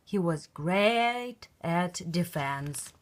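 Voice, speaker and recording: A woman reading a children's picture book aloud in an expressive, emphatic storytelling style. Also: on the low side, very slow.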